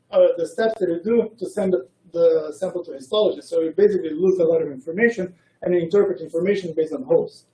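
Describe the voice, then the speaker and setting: A man giving an academic science talk as a researcher, speaking in a hesitant, halting way. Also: sits high, dark tone. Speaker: researcher presenting a colloquium. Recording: roomy echo, distant microphone.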